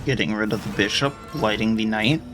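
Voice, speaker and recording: A man's voice: lowish voice, a little fast. A man losing his voice, casually talking through his game moves as he plays. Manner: muttered tactical thinking aloud. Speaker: gamer. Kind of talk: casual gameplay commentary. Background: game music.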